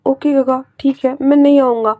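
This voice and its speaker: A woman putting on a scared young man who trips over his words and begs for pardon, his voice pitched high above hers. It sounds close and dry, read aloud as a story. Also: fairly even intonation, moderate pace, no echo, narrow, tinny audio, lightly acted. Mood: nervous, pleading. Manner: stammering, pleading. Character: jittery young man, frightened and guilty.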